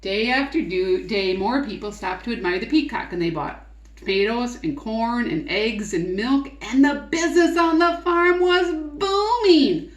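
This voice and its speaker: A woman reading a picture book aloud to children in an expressive, coaxing way, in an echoey room. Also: fairly high pitch, measured pace. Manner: expressive, coaxing, asks the listener questions. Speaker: read-aloud teacher and storyteller. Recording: roomy echo.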